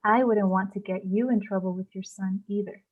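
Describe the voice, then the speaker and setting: Dull, lo-fi audio carries a woman acting as a kind, tactful stable worker in a script read. Her voice is medium in pitch, with a gentle and careful delivery. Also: fairly even intonation, moderate pace, natural voice. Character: kind, tactful woman working at an equestrian center. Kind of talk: screenplay table read acting. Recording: dull, lo-fi sound.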